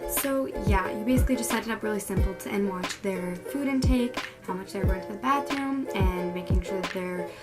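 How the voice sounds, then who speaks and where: A young woman with a cold, sounding a little tired and under the weather, chatting casually through a pet care how-to with lots of likes and ums. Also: medium pitch. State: sick, a little hoarse and tired. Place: bedroom at home. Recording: background music throughout. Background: background music.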